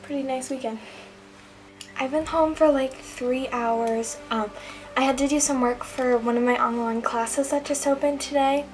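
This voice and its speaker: A woman's voice: high voice, fairly even intonation, moderate pace, rough recording. Chatty teen girl vlogger, music behind. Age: teens. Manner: chatty, lots of ums and likes. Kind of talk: casual vlog diary. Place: at home.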